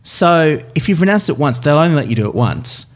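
A man's voice: fairly high pitch, brisk. A man co-hosting a podcast, chatting and joking in a wry, casual way about politics. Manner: wry, joking, lively delivery. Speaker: podcast co-host. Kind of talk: casual political comedy chat.

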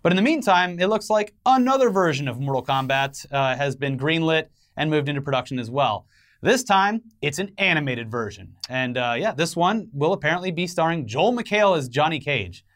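A man's voice: fairly high pitch, lively intonation, fairly quick, a bit bright. A man co-hosting an entertainment news show, giving conversational commentary with wry, joking asides.

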